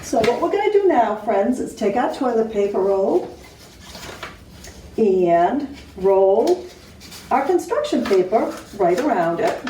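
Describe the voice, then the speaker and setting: A woman's voice: fairly high pitch, very animated, takes it slowly, dark tone. A woman teacher giving simple step-by-step craft instructions to young children. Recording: roomy, distant mic, faint noise.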